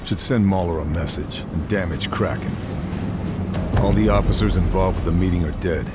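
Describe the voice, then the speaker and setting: A man's voice: sits low, moderate pace, close to an everyday voice. A man playing a hardened, resolute lone sniper agent in a video game, terse and matter-of-fact in his narration. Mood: grim, resolute. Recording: game audio with music behind.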